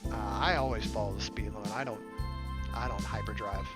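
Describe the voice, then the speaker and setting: A man's voice: on the low side, a little fast. A man reading a visual novel aloud as a gaming host, adding casual, joking asides. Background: game music.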